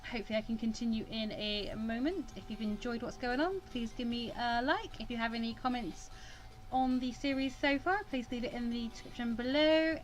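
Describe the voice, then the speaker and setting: A woman hosting a gaming channel, casually narrating her playthrough of a visual novel in an animated way. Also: high voice, poor audio.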